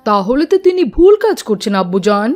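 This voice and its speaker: A woman's voice: medium pitch, sing-song, a little fast, darkish tone, close to an everyday voice. A woman voicing a polite, curious young boy in a cartoon. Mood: puzzled, respectful. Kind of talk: cartoon dialogue acting.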